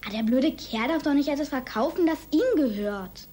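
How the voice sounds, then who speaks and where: High voiced impatient kid from a kids radio play, pushy n curious, voice jumps around loads. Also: female voice, animated, normal speed, darkish tone, slight background noise. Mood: friendly, frank. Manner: direct, insistent, colloquial. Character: impatient, spirited, curious child in a sibling duo. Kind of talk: children's radio play acting.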